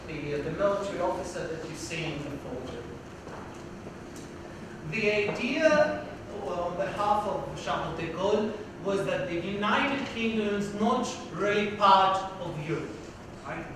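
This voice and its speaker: High-voiced man lecturing in an echoey hall. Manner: hesitant, many uhs and restarts; projects loudly for the room. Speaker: visiting university professor. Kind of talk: lecture to students. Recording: very echoey hall, distant, noisy.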